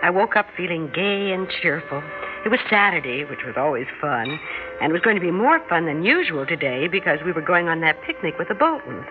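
An actress playing a middle-aged American wife and mother in an old radio drama, apologetic but quietly sure of herself, hesitant and earnest. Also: on the low side, very animated, a little fast. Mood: reflective. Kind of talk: old-time radio drama acting, first-person narration. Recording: thin phone-like vintage radio sound, music behind.

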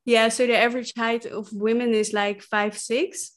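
A woman's voice: fairly high pitch, level tone, moderate pace. A young woman from the Netherlands with a Dutch accent, a guest chatting casually in an interview, laughing often and using many fillers. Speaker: guest, clothing brand founder.

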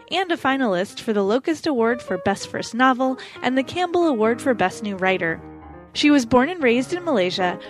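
Woman host reading a formal intro, kinda high voice, soft music behind. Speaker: podcast host. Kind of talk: scripted guest introduction.

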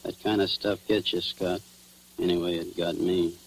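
Low-voiced crooked cop, old radio drama. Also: male voice, little pitch movement, normal speed. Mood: confessing, rueful. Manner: slangy tough-guy talk. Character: crooked male cop confessing to murder, slangy, cynical. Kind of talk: 1940s radio drama acting. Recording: noisy, humming 1940s radio recording.

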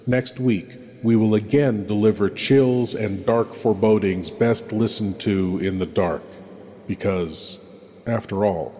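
A man's voice: lowish voice, measured pace, mellow. A man hosting a horror show, giving scripted, formal and mock-ominous theatrical narration. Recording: long hall-like reverb.

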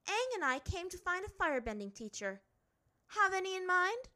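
A woman's voice: very high-pitched, sing-song, normal speed, bright, forceful tone, voice pushed up, exaggerated voice. A woman voicing a haughty, hot-tempered young princess in a story reading, imperious and insistent. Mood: demanding.

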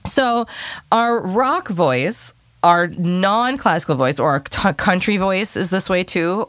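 A woman vocal coach with a low voice, chatting through viewer questions on singing and demonstrating now and then. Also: fairly bright tone, dry recording. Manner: chatty, demonstrative, sings sample phrases.

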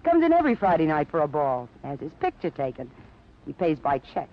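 An actor playing a talkative, lively witness in an old radio crime drama. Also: male voice, a little high, a little fast. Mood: breezy, forthcoming. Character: talkative, lively witness recounting a photo sale. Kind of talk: 1940s-50s radio drama acting. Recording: muffled old radio recording.

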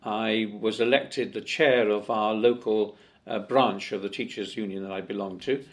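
A man's voice: fairly low pitch, fairly even intonation, moderate pace. An older man from Wales, talking as a guest in a conversational interview, chatty and full of fillers and restarts. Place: office in Parliament.

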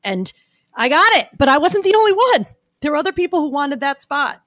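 A woman guest with a gruff, gritty voice, talking emphatically and animatedly in a podcast interview. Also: high voice, brisk, no echo, clean studio sound. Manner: emphatic, argumentative, animated storytelling. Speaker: guest speaker coach.

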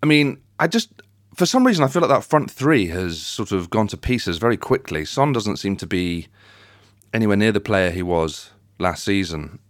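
A man hosts a sports podcast in a casual, conversational way. His voice sits fairly low. The sound is dry, with very little room on it. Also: very animated, normal speed, dry recording. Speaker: podcast host. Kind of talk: casual football chat, hosting.

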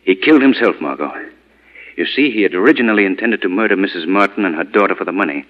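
An actor playing a commanding, deliberate male vigilante crime fighter in 1940s radio drama style. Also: sits low, animated, muffled recording. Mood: grave, explanatory. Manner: authoritative, urgent, deliberate.

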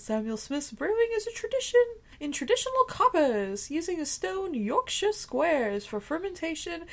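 A woman co-hosting a podcast, chatting in a bubbly way, giggly and full of exclamations. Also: very high-pitched. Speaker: podcast co-host. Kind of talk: casual chat.

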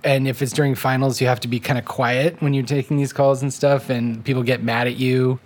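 An American man, a writer being interviewed, recalling events in a halting, self-deprecating, casual way. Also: mid-range voice, little pitch movement. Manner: halting, self-deprecating, acts out remembered remarks.

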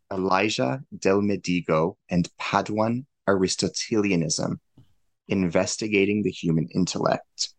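A man hosting a podcast interview, formal and measured in his questions. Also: sits low, creaky, dry recording. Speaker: podcast host.

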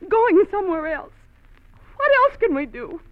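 An actress playing a gentle, worried and devoted wife in an old-time radio drama. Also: female voice, very high-pitched, very animated, moderate pace. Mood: pleading. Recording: thin phone-like old radio recording.

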